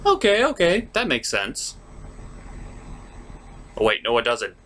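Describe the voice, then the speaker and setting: A man delivers a scripted, jokey commentary with theatrical sarcasm. His pitch jumps around in a lively way, and there is some faint noise in the background. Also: slow. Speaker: host of a cartoon episode review.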